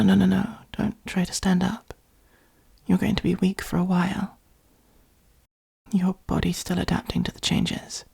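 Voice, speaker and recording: Woman as a caring vampire, very breathy whisper, lowish pitch, patient, reassuring, comforting ASMR roleplay. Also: darkish tone, full character voice. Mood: soothing, concerned. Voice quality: breathy, creaky. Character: gentle, protective adult vampire caring for a fledgling.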